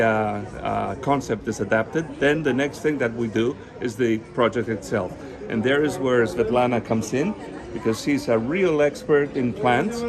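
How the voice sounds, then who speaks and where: A man with a Spanish accent and a medium-pitched voice explains his work in a spontaneous interview. A crowd and outdoor urban sound are clearly heard behind him. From northern Spain. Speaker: interview guest, garden designer.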